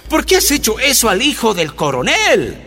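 An actor playing an army sergeant who scolds a prisoner in a radio drama. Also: male voice, very high-pitched, wildly swooping pitch, normal speed, pushed and bright, full character voice. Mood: scolding. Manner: stammering, then scolding. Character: nervous male army sergeant, bullying prisoner.

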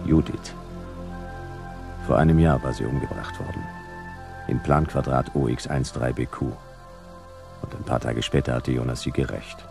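Gravelly, very deep hardboiled detective. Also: male voice, moderate pace, pauses often. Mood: brooding, laconic. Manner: deadpan, clipped. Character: laconic, hardboiled private detective narrating his case. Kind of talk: hardboiled radio drama narration. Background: music.

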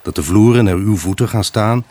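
An actor playing a wistful, evasive husband left alone, in a halting, pensive radio drama monologue. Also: male voice, fairly low pitch, dark tone, dry recording, natural voice. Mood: guarded, prickly. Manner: halting, pensive, addressing an unseen buyer. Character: wistful, evasive abandoned husband selling his house.

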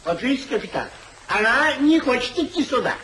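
An actor playing a testy, wounded old husband in a 1950s radio comedy of manners, grumbling with bitter theatrical sarcasm. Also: male voice, very high-pitched, very animated, a little fast, bright, forceful tone, a little hiss or hum, exaggerated voice, rough recording. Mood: sulky, wavering. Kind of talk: 1950s Soviet radio play acting.

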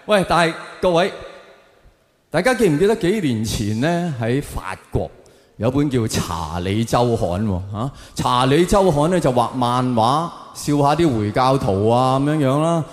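A man's voice: medium pitch, big pitch swings, normal speed. A man from Hong Kong with a Hong Kong Cantonese accent, performing stand-up comedy in a sardonic, theatrical manner full of rhetorical questions. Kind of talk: stand-up comedy monologue to a live audience. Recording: echoey hall with long reverb.

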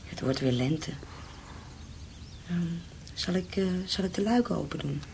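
A tender young woman nursing her beloved in a radio drama. Her voice sits a little low, soothing and protective, with clear birdsong and ambience around it. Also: expressive, a little slow, slight background noise. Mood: hushed, protective. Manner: hushed, soothing, intimate. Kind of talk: Dutch radio drama acting.

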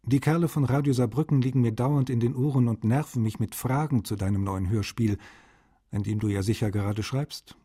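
An actor playing a fatherly, caring and gently nagging male translator, reading letters to a friend in a radio feature. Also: medium pitch, steady tone, a little fast, slightly rough, lightly acted. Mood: concerned, businesslike.

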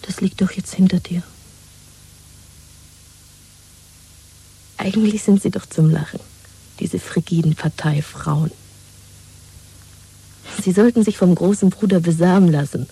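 A woman's voice: lowish voice, normal speed, pauses often, dark tone, breathy edge, rough recording. A woman playing a pragmatic, mocking secret lover in a 1970s dystopian radio play, intimate and half-whispered. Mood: soothing, mocking.

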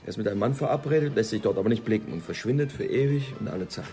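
An actor playing a brooding, persistent police investigator in a German radio drama, measured and reflective in manner. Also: male voice, lowish voice, lively intonation, music in the background.

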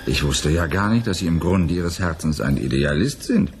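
Cynical boozy male scientist, low-pitched and very animated, sardonic 1980s radio play delivery. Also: moderate pace, slight background noise. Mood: mocking. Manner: sardonic, theatrical. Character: cynical, hard-drinking male civilian biologist. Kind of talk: 1980s German radio drama acting.

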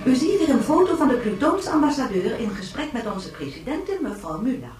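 An actress playing an official, formal television newsreader of the future in a science fiction radio drama. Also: female voice, sits high, very animated, a little fast, a little mellow. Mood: official, composed. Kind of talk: scripted news bulletin within a radio drama. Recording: very echoey, distant, music behind. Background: music.

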